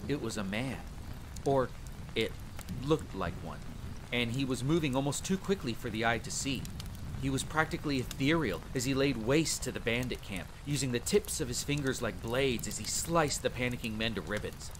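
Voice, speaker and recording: A man voicing a vampire hunter who theatrically recounts his dark boyhood by a campfire in an audio roleplay, with wry asides. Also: normal speed, noisy background, lightly acted. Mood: grim, suspenseful. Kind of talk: dramatic first-person storytelling, audio roleplay. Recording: steady rain and rumbling storm ambience. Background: rain and rolling thunder.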